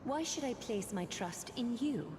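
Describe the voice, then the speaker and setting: A woman's voice: on the high side, sing-song, measured pace, noisy background. A woman playing a regal, calculating noblewoman in a video game, speaking in a stately, coolly composed, formal manner. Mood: cool, suspicious. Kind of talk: scripted English video game voice acting. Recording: echoing voice under game music. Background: game music.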